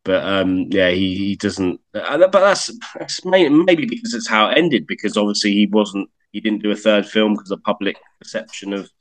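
A man's voice: lowish voice, moderate pace, few pauses. A man co-hosting a podcast, chatting in an easygoing way with stumbling restarts. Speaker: podcast co-host. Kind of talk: casual chat.

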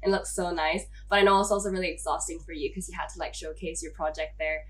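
A woman's voice: on the low side, flat delivery, fast. A young woman from Hong Kong hosting a podcast, chatting casually with lots of "like" fillers and frequent laughs. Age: young adult. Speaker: podcast host. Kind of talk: casual chat.

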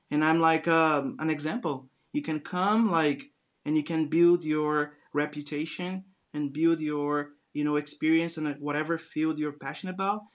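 A man in his forties from Brazil with a Brazilian accent, telling his story as an earnest interview guest. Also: fairly high pitch. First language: Portuguese. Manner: earnest, storytelling, frequent restarts. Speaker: guest entrepreneur. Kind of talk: casual interview conversation.

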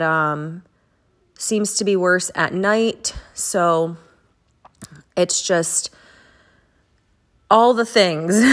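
A woman's voice: mid-range voice, fairly bright tone. A young woman hosting a casual chat and prayer podcast, congested from a head cold, talking in a rambling, chatty way with lots of fillers. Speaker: podcast host.

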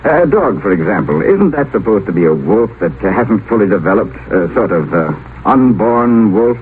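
An actor playing a mild middle-aged idealist in a 1950s radio drama, musing with gentle irony and small hesitations. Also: male voice, lowish voice, sing-song, normal speed. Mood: philosophical. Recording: tinny noisy old radio broadcast.

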